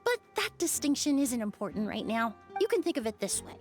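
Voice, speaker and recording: A woman voicing a sharp young investigator in a video game, calmly laying out a deduction step by step. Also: fairly high pitch, brisk, music in the background. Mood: explaining.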